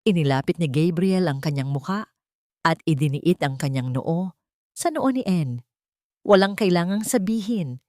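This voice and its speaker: Expressive low-voiced woman narrator, dry sound. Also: normal speed, pauses often, no echo. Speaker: narrator of an audio love story. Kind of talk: dramatic scripted storytelling narration.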